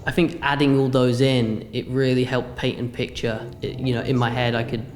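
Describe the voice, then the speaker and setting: A man's voice: mid-range voice, level tone, normal speed, a little hiss or hum. A young man with an English accent, reflecting on his experience as a student voice actor in an interview. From England. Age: young adult.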